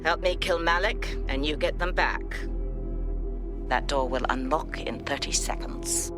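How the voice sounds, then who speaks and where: A woman's voice: low-pitched, a little slow. A ruthless British spymaster in a video game. She gives orders bluntly and swears freely, coldly in command, over prominent music. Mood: cold, controlled. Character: ruthless, foul-mouthed female intelligence chief, coldly commanding. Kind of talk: video game cutscene acting.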